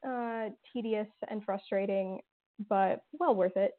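A woman's voice: mid-range voice, fairly even intonation, measured pace. Woman on a tinny phone-like line. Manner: rambling, many fillers and restarts. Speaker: podcast creator and guest. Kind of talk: casual interview answers.

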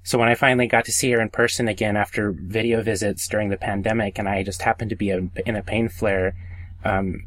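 A man co-hosting a podcast in casual conversation, speaking somewhat haltingly and repeating himself. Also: on the low side, fairly even intonation, a bit bright, poor audio. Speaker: podcast co-host.

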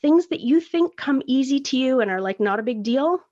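A woman's voice: high voice, lively intonation, moderate pace, dark tone, highs cut off. A Canadian woman hosting her own podcast, rambling in a chatty, self-deprecating way. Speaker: podcast host. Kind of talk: casual solo podcast monologue. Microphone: phone recording.

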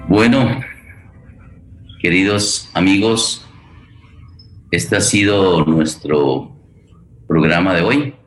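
Male host, radio theatre forum: very low pitch, deliberate and slow, roomy sound. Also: fairly bright tone, a little hiss or hum. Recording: echoey room.

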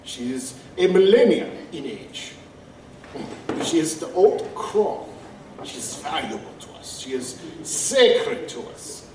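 A brooding, pompous male vampire of learning, played by a man for an audio drama. His voice sits high and moves about a lot, with formal, deliberate phrasing, heard at some distance over faint noise. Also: big pitch swings, roomy sound. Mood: reverent, solemn.

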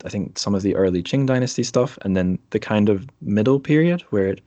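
A man's voice: fairly low pitch, lively intonation, fairly quick, mellow, dull audio. A man hosting a podcast, chatting casually and informally through an interview about books, with asides as he explains. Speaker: podcast host.

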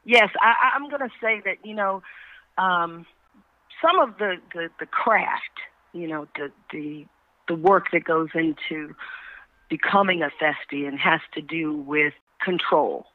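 A woman, a stage actor being interviewed, talking reflectively and searching for words in a measured way. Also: fairly low pitch, dry recording. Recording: dull, band-limited call audio.

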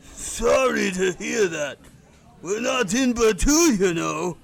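Man putting on an alien short-order cook, high-pitched, gravelly, friendly, drawn-out delivery, podcast sketch. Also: animated, full character voice. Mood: friendly, unhurried. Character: friendly alien diner cook, slow and gruff.

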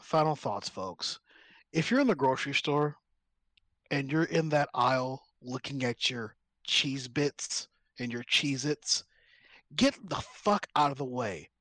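A man's voice: medium pitch, a little slow, a bit bright, dry recording. A man co-hosting a casual comedy podcast, playful, laughing easily and sliding into mock rants. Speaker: podcast co-host.

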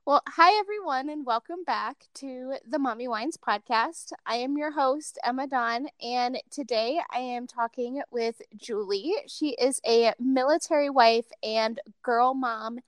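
A young woman hosts a casual podcast chat in a high, girlish voice. She giggles often and fills her talk with "like." The sound is dry and close, with no room around it. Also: unhurried, hardly any pauses, no echo. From Ohio. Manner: chatty, giggly, says "like" a lot. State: achy and under the weather. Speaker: podcast host.

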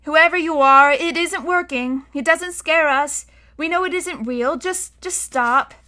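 A woman voicing a calm, curious, brave young boy in a storybook, speaking plainly and earnestly. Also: sits high, a little slow, voice pushed up. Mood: firm, defiant.

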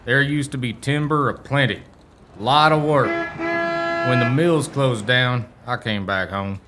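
A man's voice: medium pitch, slow, background noise, close to an everyday voice. A man playing an easygoing, plainspoken homeless former timber worker in a radio play, with a folksy manner.

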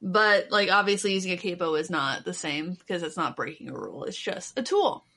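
A woman chatting casually and informally, with animated, mocking asides. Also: lowish voice, brisk. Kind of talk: casual chat.

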